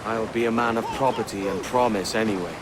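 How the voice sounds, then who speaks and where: An actor playing a brash, ambitious young pirate captain in a video game, casual and cocky. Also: male voice, on the low side, a little fast, background noise, close to an everyday voice. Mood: cocky, dreaming. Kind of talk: video game cutscene acting.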